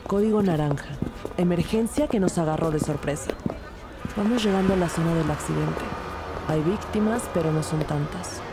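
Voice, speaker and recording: A woman playing a brisk police detective who narrates her own case in a scripted audio drama with measured delivery. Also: lowish voice, very noisy, lightly acted. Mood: composed, alert. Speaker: narrator and lead actor. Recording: loud music bed under voice. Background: loud music.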